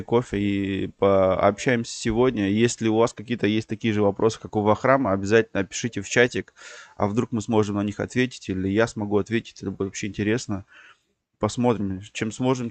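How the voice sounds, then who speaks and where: Male stream host, lowish voice, dry close sound, rambling explainer with lots of fillers. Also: no echo. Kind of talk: livestream chat.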